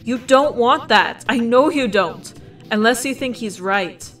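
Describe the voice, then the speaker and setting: A woman's voice: a little high, measured pace, fairly bright tone. A woman playing a firm, motherly character who pleads earnestly and emphatically in a fan comic dub. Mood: urgent.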